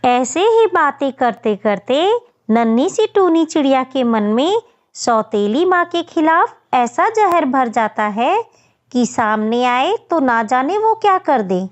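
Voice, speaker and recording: A woman's voice: high-pitched, big pitch swings, slow, muffled recording. A woman narrating a scripted children's story as the storyteller.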